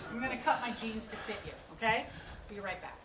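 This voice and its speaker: A woman playing a stressed, wry adult daughter, brisk and a little bossy, in a staged reading of a play. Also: fairly high pitch, a crowd in the background, lightly acted, poor audio. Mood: brisk, caring. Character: stressed, wry adult daughter minding her troubled brother.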